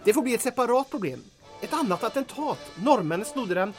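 Droll deadpan sidekick, cracks wise, radio drama, bit of music behind. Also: male voice, very high voice. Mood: dryly scheming. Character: wisecracking, dryly absurd henchman sidekick.

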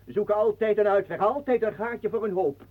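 A man's voice: high-pitched, brisk. An actor playing a sly, teasing showman and storyteller in a theatrical radio drama. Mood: lecturing. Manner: theatrical, insinuating. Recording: muffled, phone-like old radio recording.

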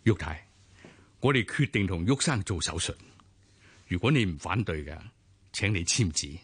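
An actor playing a grave, measured hospital doctor in a radio drama. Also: male voice, deep voice, lively intonation, fairly bright tone, husky, full character voice.

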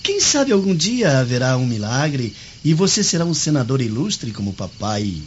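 A man's voice: mid-range voice, very animated, moderate pace, lightly acted. A haughty Roman nobleman in a radio drama, played by a man, pompous and imperious. His intonation swings widely, on a dull recording with faint noise. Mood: teasing, condescending. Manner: pompous, theatrical. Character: haughty male Roman aristocrat, imperious and teasing. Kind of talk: Brazilian radio drama acting.

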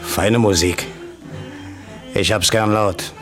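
A man's voice: fairly low pitch, fairly even intonation, takes it slowly. An actor playing a terse, menacing hitman who gives curt orders in a 1960s German radio play. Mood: cold menace. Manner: curt, commanding. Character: terse, menacing male hitman, cold and unmoved. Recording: 1960s radio, loud music behind.

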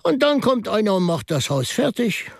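Gentle priest musing to himself, radio play, slow n wistful, pitch swoops around, bit husky. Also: male voice, very animated, no room sound, studio quality, full character voice. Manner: wistful, reflective, deliberate musing. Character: gentle, musing priest who mourns finished buildings. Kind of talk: radio drama monologue.

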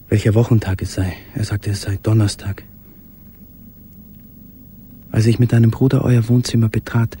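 Ein Schauspieler spielt in einem Hörspiel einen gequälten, sich erinnernden Mann im Versteck, gedämpft, stockend und intim gesprochen. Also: male voice, on the low side, warm tone, lightly acted. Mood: haunted, restrained. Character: haunted man in wartime hiding, remembering a beloved. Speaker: actor in a radio play. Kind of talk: literary radio play monologue. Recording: faint background noise.

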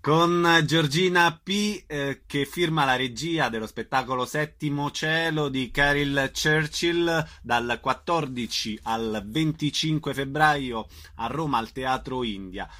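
A man's voice: medium pitch, slow pace, hardly any pauses, bright, forceful tone. A man hosting a radio interview, asking questions and giving show details in a friendly, slightly hesitant way.

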